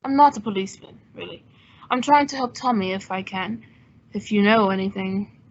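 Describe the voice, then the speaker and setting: A woman playing a suave, wry gentleman sleuth, polished and teasing, in an old-time radio detective drama. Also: mid-range voice, normal speed, muffled recording, a little hiss or hum, lightly acted. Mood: wry, persuasive.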